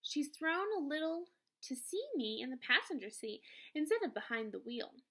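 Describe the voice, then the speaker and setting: A woman reading a children's novel aloud in an expressive, emphatic storytelling manner. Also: sits high, normal speed. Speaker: read-aloud reader, teacher.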